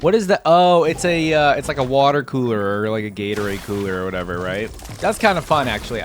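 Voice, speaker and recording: A man's voice: on the low side, a little hiss or hum. A man giving casual reaction commentary in a conversational way, thinking aloud as he goes. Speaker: gaming video creator, reactor. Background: music.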